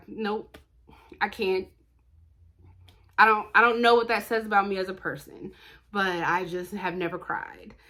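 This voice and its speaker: Bright-voiced woman chatting about books. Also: fairly high pitch. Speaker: book reviewer, vlog host. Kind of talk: casual chatty book review vlog.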